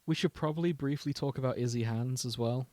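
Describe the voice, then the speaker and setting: A man's voice: mid-range voice, a little fast, a little mellow, dry recording. Man casually explaining, dry close sound. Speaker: podcast co-host. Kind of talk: casual chat about a TV show.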